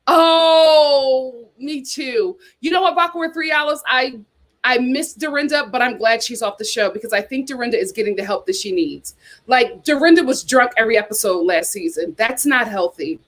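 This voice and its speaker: Young woman, livestream host, casual chat, higher voice, repeats herself. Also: normal speed. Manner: chatty, animated, repeats herself. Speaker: YouTube host.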